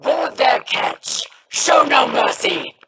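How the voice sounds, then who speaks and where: A man voicing a defiant, threatening alien pirate villain in a video game. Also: very high voice. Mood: menacing. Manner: threatening, deliberate. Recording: loud background noise.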